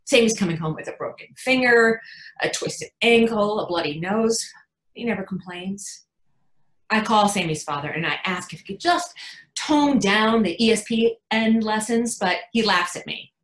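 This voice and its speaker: A woman playing an anxious, protective mother in a frank, wry, confiding dramatic monologue, pausing for emphasis. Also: medium pitch, lively intonation, fairly bright tone, close to an everyday voice. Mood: wry, exasperated. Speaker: actor in a readers theatre performance. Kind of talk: dramatic stage monologue, conversational storytelling. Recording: echoey room sound.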